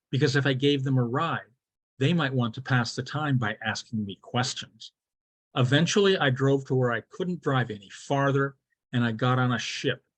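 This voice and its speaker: Male contest speaker, mid-pitched. Mock-serious, theatrical comic storytelling with deliberate emphasis. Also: a bit bright. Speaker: club speech contestant. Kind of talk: humorous storytelling speech, rehearsed.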